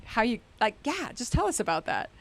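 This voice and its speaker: A woman's voice: fairly high pitch, very animated, fairly quick, creaky, fairly bright tone. A middle-aged woman hosting an interview show, chatty and upbeat, laughing often. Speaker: host. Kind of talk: show intro and interview chat.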